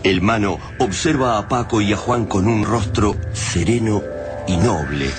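A man's voice: fairly low pitch, unhurried, lightly acted. A man with an Argentine accent narrating a science fiction radio drama as a grave, solemn storyteller. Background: music.

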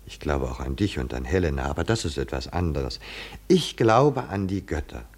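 Impassioned philosophical seer, low-pitched, deliberate and rhetorical, 1950s radio play. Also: male voice, very animated, heavily acted. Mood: calm, assured. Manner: rhetorical, fervent, deliberate dramatic pauses. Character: impassioned philosophical male poet-seer awaiting his blindness. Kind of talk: 1950s German radio drama acting.

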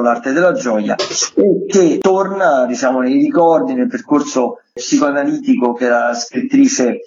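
A man giving conversational arts commentary as a radio critic, in a discursive, softened way. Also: medium pitch. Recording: dull, faintly noisy remote connection.

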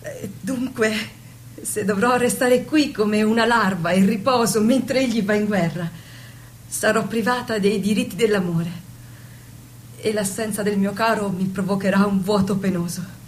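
A woman's voice: medium pitch, fairly even intonation, close to an everyday voice. Woman acting dignified young noblewoman, noisy recording. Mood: earnest, pleading. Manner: formal, measured declamation. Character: devoted young noblewoman, dignified daughter and bride. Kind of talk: stage-style radio drama acting.

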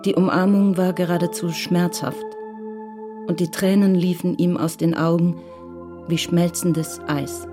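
A woman reading scripted narration for a radio crime drama in a composed, measured way. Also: on the low side, flat delivery, over music.

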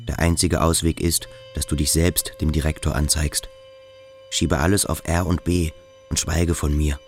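A brooding boarding-school boy in a radio drama, very deep in voice. He is terse, dismissive and coolly defiant, with music running underneath. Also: flat delivery, normal speed. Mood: quiet, grave. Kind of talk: German radio play acting.

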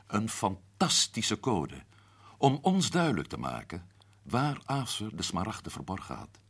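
A man playing a shrewd man explaining the solution of a mystery in a radio play, husky and measured. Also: fairly low pitch, wildly swooping pitch, exaggerated voice. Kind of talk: radio drama acting.

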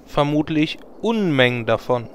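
A man's voice: a little high, animated, measured pace, slight background noise. A man playing an earnest, grandiose hero in a fantasy parody audio drama. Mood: matter-of-fact.

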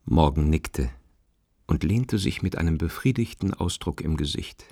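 A man acting as the detached narrator of a radio drama, reading literary prose in a measured, matter-of-fact way. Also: rumbling low, expressive, vocal fry, dark tone, no room sound, clean studio sound, heavily acted. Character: measured, detached male storyteller. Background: plucked guitar music.

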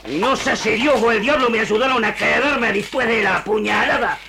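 High-pitched, fierce Argentine sergeant, noisy tape. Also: male voice, moderate pace, vocal fry. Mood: menacing. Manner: shouted, rural Argentine slang. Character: fierce, defiant gaucho-era army sergeant. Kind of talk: melodramatic radio serial acting. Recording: noisy old radio archive tape.

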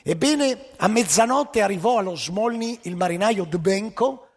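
A man's voice: high-pitched, animated, moderate pace, breathy edge, in a large hall. A man telling a story on stage, emphatic and ironic, with pointed rhetorical questions. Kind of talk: theatrical storytelling monologue.

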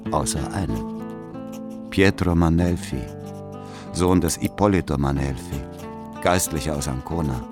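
An actor playing a cold, methodical spy narrating his diary in a radio drama, measured and clipped. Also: rumbling low.

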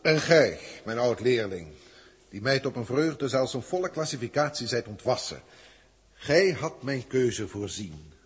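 An actor in a 1960s radio drama playing an old, emotional alien proctor, speaking theatrically in formal, archaic phrases with a husky voice. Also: male voice, medium pitch, sing-song, unhurried, heavily acted. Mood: astonished. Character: old emotional alien senior proctor, male teacher, wry. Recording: reverberant 1960s radio studio recording.

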